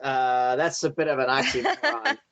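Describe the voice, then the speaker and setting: Halting, sarcastic man with lively pitch. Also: dry recording. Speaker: podcast co-host. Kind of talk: casual fan chat.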